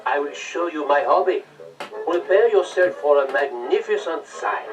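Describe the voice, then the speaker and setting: A man playing a polite, excitable French florist in a storybook read-along, with a French accent and theatrical courtesy. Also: fairly high pitch, moderate pace, distant mic, dull audio, music in the background, lightly acted. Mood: proud, gracious.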